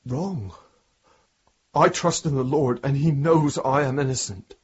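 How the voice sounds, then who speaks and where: A defiant, devout prisoner in a radio drama. His voice is husky and pleading, with a slightly dulled sound. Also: mid-range voice, unhurried, dull audio.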